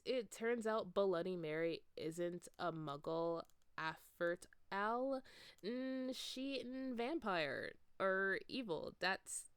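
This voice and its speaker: A woman's voice: medium pitch, clear, resonant tone, dry recording. A woman playing a defensive teenage fan fiction author, indignant, drawing out the words in a story reading.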